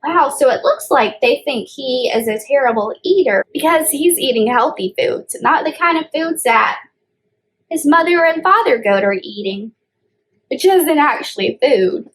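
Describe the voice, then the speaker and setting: Lady doing kids storytime, slow and super expressive, simple wording with key words stressed. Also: sits high, rough recording. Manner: simple explanations with deliberate emphasis on key words. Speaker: children's librarian leading story time. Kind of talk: read-aloud storytime for young children.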